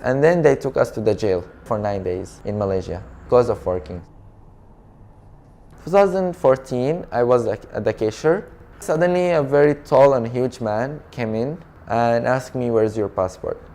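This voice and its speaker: A young man from Syria who speaks natively tells his story as a refugee in a documentary interview, soft, halting and earnest in English. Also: mid-range voice. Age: young adult.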